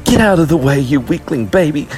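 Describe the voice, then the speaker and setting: A man voicing a bossy, impatient girl with shrill, urgent exclamations in an audiobook. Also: expressive, brisk, darkish tone, faint music behind, lightly acted. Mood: angry, scolding. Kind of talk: audiobook character voice.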